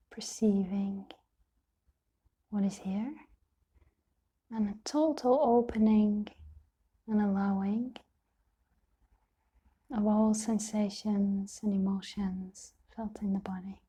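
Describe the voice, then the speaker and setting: A woman guides a meditation in a very dark, mellow voice, soft and half-whispered. Her delivery is slow and nearly flat in pitch, with gentle, soothing phrases set apart by long pauses. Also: slightly rough. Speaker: meditation teacher.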